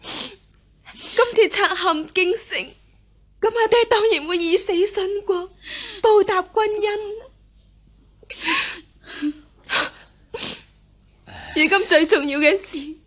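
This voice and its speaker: A devoted young daughter, very high voice. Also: female voice, unhurried, pauses often, fairly bright tone. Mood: earnest, mournful. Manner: earnest, formal, pleading. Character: devoted, resolute young daughter of a noble household. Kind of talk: Cantonese radio costume drama acting.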